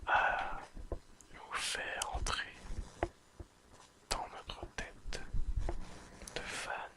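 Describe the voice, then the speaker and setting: An ASMR host speaks entirely in a breathy whisper. The delivery is extremely slow and deliberate, broken into short phrases with pauses between them, like a relaxing spoken summary. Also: low-quality recording. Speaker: host of an ASMR anime recap channel.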